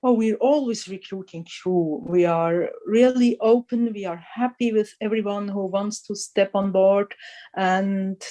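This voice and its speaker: Casting: unhurried woman guest, mellow, soft tone, thoughtful and halting. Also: mid-range voice, fairly even intonation. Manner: thoughtful, halting, laughs often. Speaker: magazine editor guest. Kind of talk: casual interview chat.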